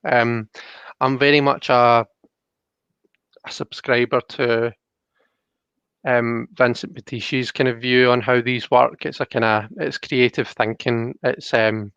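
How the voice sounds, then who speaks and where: A man with an average-pitched voice hosts a casual live stream. He talks in a thoughtful, halting way, often circling back on his words. The sound is close and dry but slightly muffled, like an online call. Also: creaky, fairly bright tone, clean tone, dry recording. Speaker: live stream host and card reader. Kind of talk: casual talk, reading out live chat comments. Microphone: online call microphone.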